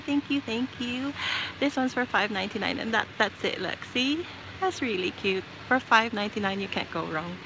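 A woman from the Philippines chatting casually on a live shopping stream, reading out prices and giving shout-outs as she walks around a store. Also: fairly high pitch, animated, moderate pace. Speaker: livestream shopping host. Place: inside a large retail store. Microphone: handheld phone while walking the aisles. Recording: noisy shop floor phone livestream.

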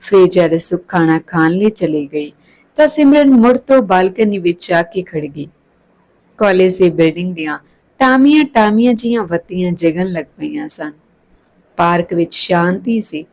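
A woman reading a scripted story as a storyteller, with expressive, literary delivery. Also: on the low side, moderate pace, rough recording.

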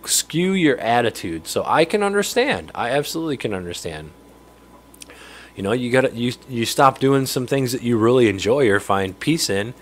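A man's voice: medium pitch, fairly bright tone. A man in his thirties with a nasal voice, getting over a cold, hosting a casual livestream and greeting viewers by name from his home fishroom. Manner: chatty, greets viewers by name, laughs often. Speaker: livestream host. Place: home fishroom with aquariums, indoors. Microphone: studio mic on stand close in front.

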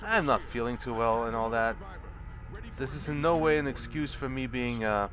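A man's voice: medium pitch, normal speed, music in the background. Stuffy-nosed young man narrating a game. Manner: swearing, sarcastic, talks to enemies on screen. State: stuffy from a cold. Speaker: let's play commentator. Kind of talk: casual gameplay commentary. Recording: home recording with clear background noise.

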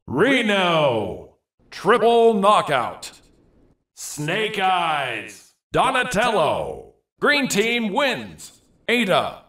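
Big dramatic fighting game announcer, highish voice, super animated swoops, echoey, bombastic short callouts. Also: male voice, far from the mic, exaggerated voice. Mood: bombastic, hyped. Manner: theatrical, drawn-out, emphatic delivery.

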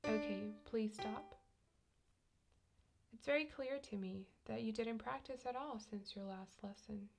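A woman in an ASMR sleep hypnosis roleplay as a piano teacher, speaking slowly and softly with gentle command, repeating phrases and slipping into whispers. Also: fairly high pitch, gravelly. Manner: slow, soft, repetitive and gently commanding; drops into whispers at times. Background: ticking metronome and music.

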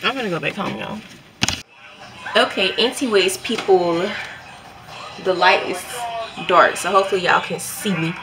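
Young adult female vlogger, Southern US accent, medium pitch, informal talk straight to viewers. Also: normal speed, pauses often, music in the background, rough recording. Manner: informal, conversational, addressing viewers as y'all. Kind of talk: casual vlog chat to viewers.

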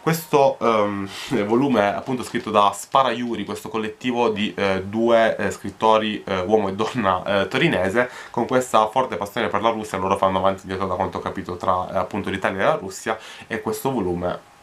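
A man's voice: on the low side, steady tone, fairly quick, a bit bright. A young man in his twenties casually showing and talking through his new books, hesitant with frequent fillers and restarts, filmed in a small bedroom with a camera at desk distance. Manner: chatty, many hesitations and repeated words. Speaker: book vlogger. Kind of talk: casual book haul vlog. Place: small bedroom in front of a wardrobe.

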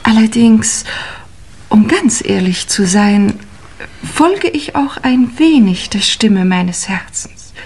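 An actress playing a theatrically noble young upper-class lady in a 1950s radio mystery, solemn, precise and high-flown. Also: lively intonation, slow, a little mellow, a little hiss or hum.

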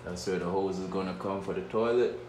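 A man, walking through his unfinished basement with a phone and casually narrating his renovation work in a relaxed, rambling way. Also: low voice, fairly quick, slight background noise. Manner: relaxed, rambling, informal speech. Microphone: phone camera held while walking.

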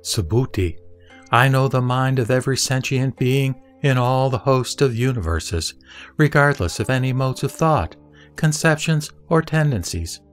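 A man playing the Buddha as a serene teacher, reciting scripture in a measured, didactic, emphatic way. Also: medium pitch, lively intonation, faint music behind, close to an everyday voice. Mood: questioning, instructive. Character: the Buddha, serene male spiritual teacher instructing a disciple. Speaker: sutra audio drama reader.

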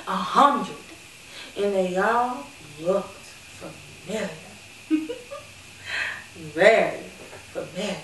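A woman performing a stage monologue as a sassy, candid retired call girl who reminisces fondly with playful, dramatic pauses. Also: fairly high pitch, pushed and bright, exaggerated voice. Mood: amused. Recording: echoey room, distant mic, background noise.

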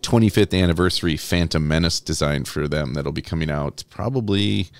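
A man's voice: rumbling low, a little fast. A man chatting casually as an interview guest, rambling and chuckling, enthusiastic about his own work. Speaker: interview guest, comic book artist.

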